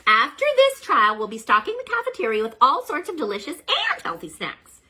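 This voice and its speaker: A woman voicing a cheery grown-up schoolteacher in a storybook reading, speaking bright, loud and sing-song. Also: high-pitched, wildly swooping pitch, fairly quick, voice pushed up, full character voice. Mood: delighted.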